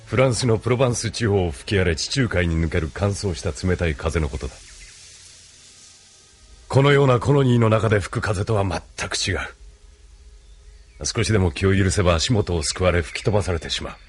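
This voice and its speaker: 男性声優がアニメのオーディオドラマで、落ち着いて威厳のある中年の軍大佐を演じている。. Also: male voice, low-pitched, expressive, husky. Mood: grandly reflective. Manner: measured, authoritative. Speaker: voice actor. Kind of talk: anime audio drama acting.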